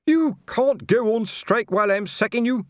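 A man voicing a blustering, irritable watch captain who shouts indignantly in an audiobook. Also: extremely high pitch, expressive, normal speed, no echo, studio quality, pitched above the performer's own voice. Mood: bellowing. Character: blustering middle-aged male watch captain, pompous and irritable. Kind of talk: audiobook character voice.

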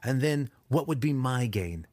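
A man voicing a desperate, proud, vengeful male dark elf student in an audiobook, indignant and excitable. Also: medium pitch, measured pace, dry recording, close to an everyday voice. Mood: defiant. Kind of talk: audiobook character acting.

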